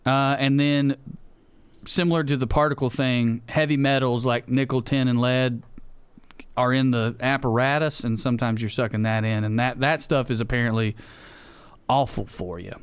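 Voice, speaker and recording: A man's voice: mid-range voice, steady tone, normal speed, smooth, no echo. A man with a Southern US accent explaining things casually on a podcast, wry, blunt and profane. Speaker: podcast co-host.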